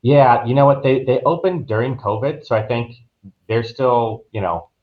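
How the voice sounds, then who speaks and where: A man's voice: medium pitch, moderate pace, narrow, tinny audio. A man giving casual, easygoing, anecdotal answers as an interview guest. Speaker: travel agent, interview guest.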